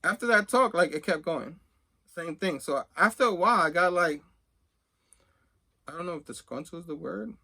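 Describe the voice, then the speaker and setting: Middle aged guy, bright kinda higher voice, rambly casual storytime, does the back and forth dialogue. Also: expressive, moderate pace, creaky, pauses often. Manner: rambling, conversational, often acting out reported dialogue. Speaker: host of a comics collecting video channel. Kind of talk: casual personal storytelling to camera.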